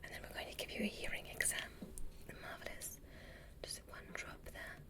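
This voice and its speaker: A woman whispering softly in an ASMR medical roleplay, giving soothing, reassuring and unhurried instructions as an examiner. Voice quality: breathy whisper. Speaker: ASMR performer.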